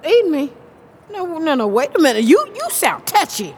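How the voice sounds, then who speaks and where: A woman's voice: high voice, big pitch swings, normal speed, darkish tone. An actress playing a sassy, defiant blues-singing woman who faces monsters in a theatrical audio drama.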